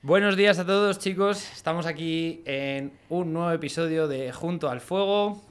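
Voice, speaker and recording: A young man with a smooth, clear voice introduces his podcast in a relaxed, halting manner, leaning on fillers as he goes. He talks into a boom-arm studio mic. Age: young adult. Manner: hesitant, many fillers, friendly. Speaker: podcast host. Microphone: studio mic on a boom arm, with headphones.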